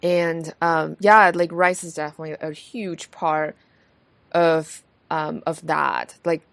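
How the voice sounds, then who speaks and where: A woman's voice: low voice, flat delivery, takes it slowly, gravelly, dry recording. A woman from Taiwan hosting a podcast, chatting casually with many fillers and restarts. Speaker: podcast host. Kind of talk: casual conversation.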